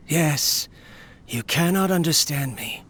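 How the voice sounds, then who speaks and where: An actor playing a weary, lonely scientist in a video game, speaking in a gentle, wistful, resigned way. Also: male voice, a little high, takes it slowly, heavy background noise. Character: weary, lonely male scientist confiding in his robot. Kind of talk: English video game voice acting, monologue.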